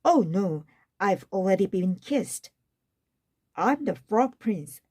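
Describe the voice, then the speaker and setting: A woman's voice: mid-range voice, expressive, slow, warm tone, breathy edge. A woman voicing a polite, earnest young storybook prince with a slightly flustered manner. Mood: pleading, flustered.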